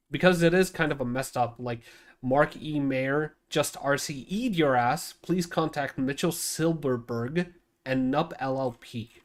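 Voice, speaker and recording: A man with a nasal voice streaming casually, thinking aloud as he edits a video, rambling with many restarts. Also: medium pitch. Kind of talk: casual livestream talk while editing video.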